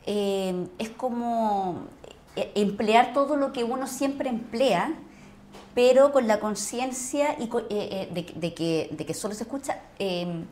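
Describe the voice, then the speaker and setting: A woman's voice: a little high, slow, a little mellow. A Chilean woman talking casually as an actress guest in an interview, with an emphatic manner that repeats words.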